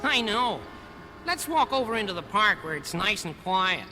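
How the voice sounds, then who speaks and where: A man's voice: sits high, big pitch swings, moderate pace, exaggerated voice. A man voicing an earnest, talkative little puppet fellow with old-fashioned phrasing on a children's record. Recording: vintage children's record with loud music.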